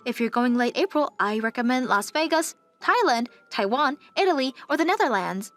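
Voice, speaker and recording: A helpful young woman travel advisor from a manga dub, acted by a woman, talks in a fairly high, courteous customer-service voice. She is eager and polite, over soft background music. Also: lively intonation, moderate pace, no room sound. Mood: enthusiastic. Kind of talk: English manga dub acting.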